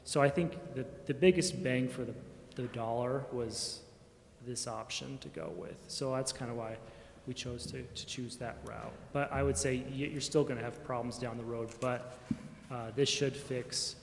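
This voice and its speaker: A man's voice: mid-range voice, normal speed, creaky. A man giving an informal explanation at a public meeting as a staff member, hesitant and thinking aloud. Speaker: town staff member answering council questions. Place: indoor council chamber. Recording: echoey meeting chamber, faint background noise.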